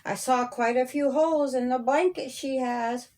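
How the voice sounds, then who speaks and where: A woman's voice: sits high, normal speed, a little mellow. A woman reading her own children's story aloud in rhyming verse, with a sing-song cadence.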